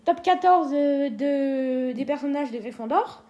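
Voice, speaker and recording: High-voiced playful woman. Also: lively intonation, unhurried, mellow. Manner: playful, teasing, hesitant fillers. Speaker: co-host of a ranking video. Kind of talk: casual scripted chat.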